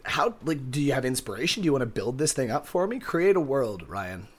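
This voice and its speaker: A man's voice: mid-range voice, very animated, fairly quick. A man co-hosting a comedy podcast, throwing crude, mock-grand wisecracks and swearing. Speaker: podcast co-host. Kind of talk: casual comedic podcast chat.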